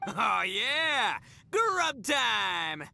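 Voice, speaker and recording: A man's voice: high-pitched, sing-song, drawn-out words, creaky, sharp tone, soft background music, heavily acted. A man playing a boisterous, brash young fellow with a big appetite in a video game. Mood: hearty.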